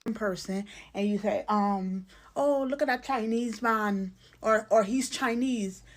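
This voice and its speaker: A woman's voice: fairly high pitch, measured pace. A woman with a Caribbean accent giving a casual, emphatic, explanatory opinion talk, checking in with the listener as she goes.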